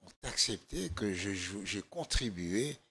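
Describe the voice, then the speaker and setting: An older man from Congo with a hoarse, gravelly voice, speaking as a political guest in a TV studio interview, measured and halting with many fillers. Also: on the low side, animated, crowd noise. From Democratic Republic of the Congo. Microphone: desk microphone.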